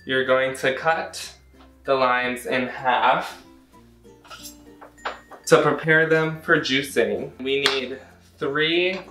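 Young American man, bright voice, unhurried, roomy kitchen. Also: mid-range voice. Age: young adult. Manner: playful, self-deprecating asides. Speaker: cooking show host. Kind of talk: casual recipe demonstration. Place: home kitchen, indoors. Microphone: camera mic a few feet away. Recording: roomy kitchen echo from camera distance.

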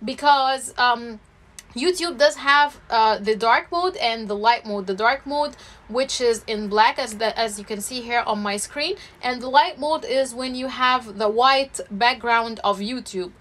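A woman's voice: fairly high pitch, measured pace, fairly bright tone. A woman in her thirties coaching on YouTube growth as she reviews a channel over a screen recording, explaining step by step with frequent fillers and restarts.

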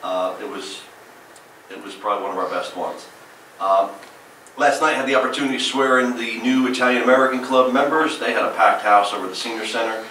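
Mayor with a New Jersey accent reporting at a council meeting, bright forceful voice, heard from across an echoey chamber. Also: male voice, medium pitch, fast talker, recorded from a distance, a little hiss or hum. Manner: upbeat, listing events with frequent "uh" fillers. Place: large council chamber, indoors.

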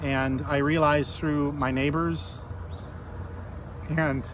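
An American man in his forties preaching an informal sermon as a pastor, earnest and conversational, with pauses and rhetorical questions. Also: fairly high pitch, flat delivery. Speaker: Lutheran pastor. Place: outdoors beside a fallen tree near a street. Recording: outdoor noise, insects and vehicles.

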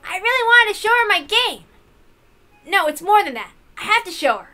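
A woman playing an excitable, confident young man in a visual novel, with an eager, informal, emphatic way of talking. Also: extremely high pitch, normal speed, soft background music, voice pushed up, exaggerated voice. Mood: excited, earnest. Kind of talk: visual novel character reading.

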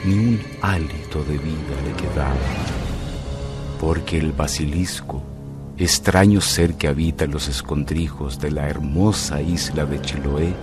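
A man narrating a mystery radio drama in a deliberate, dramatic way with pauses. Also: rumbling low, over music.

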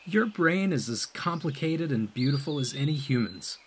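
An actor playing a cryptic male mentor in a science-fiction audio drama, measured and evasive. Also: a little high, animated, slight background noise, lightly acted. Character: cryptic, calm male mentor guiding an android.

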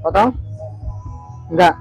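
Joking high-voiced barber, dry close sound. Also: male voice, slow, husky, dry recording, soft background music, rough recording. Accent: Indonesian. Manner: chatty, joking, keeps saying "guys". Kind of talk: casual vlog narration while cutting hair. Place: small barbershop, indoors, street-facing.

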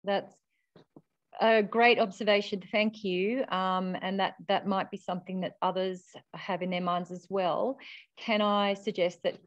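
A woman's voice: medium pitch, steady tone, measured pace, no echo. A woman in her fifties with an Australian accent, facilitating a webinar in an encouraging, upbeat way. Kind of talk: chairing a webinar. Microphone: video call webcam. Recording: dry, dull webcam audio.

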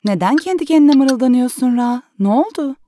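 Cartoon mom, calm and patient, mellow and a little high, teaching gently. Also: female voice, moderate pace, dry recording, studio quality. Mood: curious, gentle. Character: gentle, patient mother of young children. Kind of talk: animated children's cartoon dub acting.

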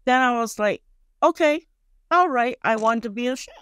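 Female podcast guest, forties, Malaysian Chinese accent, native Chinese speaker. Conversational, halting delivery, close and dry studio mic. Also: on the high side, lively intonation, fairly bright tone, clean tone, no echo. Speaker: guest chef and culinary instructor. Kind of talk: casual podcast interview. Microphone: close studio microphone on boom arm.

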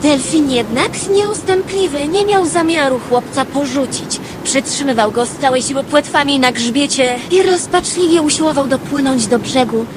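Woman as a wistful storyteller, fairly high voice. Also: moderate pace, hardly any pauses, background noise, lightly acted. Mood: storytelling. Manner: literary, expressive storytelling. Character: Lady Number Two, wistful female dreamer telling her dream. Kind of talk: scripted audio drama narration.

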